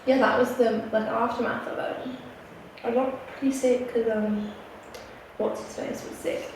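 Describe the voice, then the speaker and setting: A woman's voice: fairly high pitch, steady tone, a little slow, darkish tone, slight background noise. Young woman co-host in an echoey room. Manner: chatty, laughs easily, swears casually. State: hoarse from a recent cold. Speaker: podcast co-host. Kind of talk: casual chat. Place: living room. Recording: echoey room, mic at a distance.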